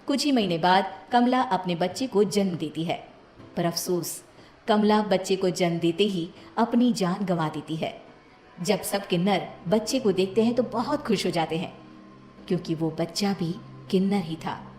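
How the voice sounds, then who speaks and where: A woman's voice: medium pitch, normal speed, darkish tone, echoey room, soft background music. A woman reading a scripted cartoon moral story as its narrator.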